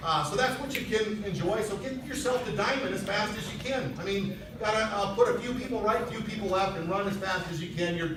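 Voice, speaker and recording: High-voiced man speaking in an echoey hall. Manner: conversational, urging. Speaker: motivational speaker at a sales convention. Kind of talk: motivational stage talk. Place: large event hall on stage. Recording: echoey hall, distant mic, noisy.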